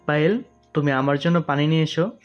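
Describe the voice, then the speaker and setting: A man's voice: fairly high pitch, fairly quick, faint music behind, close to an everyday voice. A man playing a sly, teasing young man in an audio romance story. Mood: sly, polite. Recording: dull, muffled sound.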